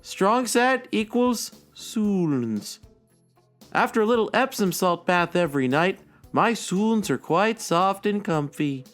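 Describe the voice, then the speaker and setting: An actor playing a prissy, self-conscious oddball blogger, reading a comic blog in a deliberate, defensive deadpan. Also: mid-range voice. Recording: music under the voice.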